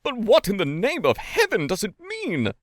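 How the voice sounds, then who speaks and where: A man plays an indignant male lawyer from a storybook, agitated and demanding. The voice is raised high and swings widely, with sharp, insistent exclamations. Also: huge pitch swings, moderate pace, dry recording, studio quality. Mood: angry, alarmed.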